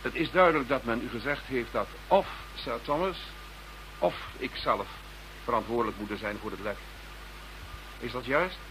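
An actor playing a formal, eloquent government minister who speaks insistently in a radio play. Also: male voice, mid-range voice, expressive, moderate pace, pauses often, close to an everyday voice. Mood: exasperated, insistent. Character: Dutch government minister protesting his innocence to detectives. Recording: slightly noisy radio drama recording.

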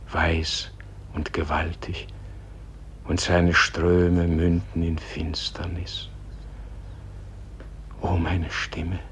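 Gentle old man in a radio play, deep-ish voice, really slow, solemn, lyrical, trails off hushed. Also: slight background noise, exaggerated voice. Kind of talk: German radio play acting, solemn and poetic.

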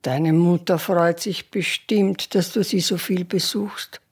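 An actress playing a confused elderly woman in a care home, musing slowly in a radio play. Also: lowish voice, fairly even intonation, slightly rough, exaggerated voice. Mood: bewildered. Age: older. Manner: slow, musing, drifting into whispers.

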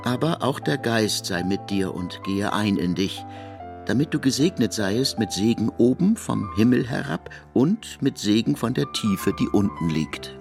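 An actor playing a solemn, priestly narrator of myth in a literary radio drama, declamatory and incantatory. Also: male voice, lowish voice, expressive, measured pace, creaky, over music.

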